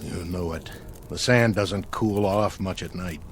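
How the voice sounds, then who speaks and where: An actor playing a weary, evasive astronaut in a vintage TV drama, sullen and defensive, stammering when pressed. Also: male voice, low-pitched, measured pace. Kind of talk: mid-century television drama acting. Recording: music under dialogue.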